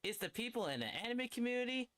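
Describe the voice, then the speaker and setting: Bright, forceful man ranting casually. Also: extremely high pitch, big pitch swings, quick pace, clear, resonant tone. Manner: opinionated, slangy, swears freely. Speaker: streamer. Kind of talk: casual gaming banter and rants.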